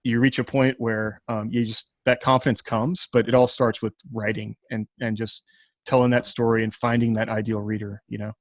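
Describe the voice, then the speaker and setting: A man's voice: on the low side, flat delivery, moderate pace, no echo. Earnest man in casual panel talk, dry sound. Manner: earnest, encouraging. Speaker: science fiction writer on a podcast panel. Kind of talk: casual panel talk giving writing advice.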